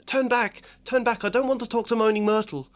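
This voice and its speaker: A man's voice: extremely high pitch, steady tone, voice pushed up. A man voicing an anxious, bossy schoolgirl in a storybook reading. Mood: frantic. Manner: rushed, fussy and anxious. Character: a high, frantic, bossy schoolgirl.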